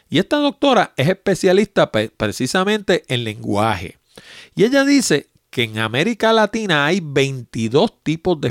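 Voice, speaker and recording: A man's voice: mid-range voice, no echo, studio quality. A man in his sixties with a Puerto Rican accent, hosting a tech podcast and answering listener mail in a chatty, explanatory way. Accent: Puerto Rican Spanish. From Puerto Rico. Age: 60s. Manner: chatty, explanatory, plain-spoken.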